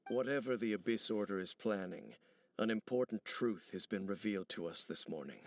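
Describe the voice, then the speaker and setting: A man playing a brooding, serious investigator in a video game, speaking in a formal, deliberate and grave way. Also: on the low side, animated. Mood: troubled, solemn. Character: brooding, serious male investigator, measured and cryptic.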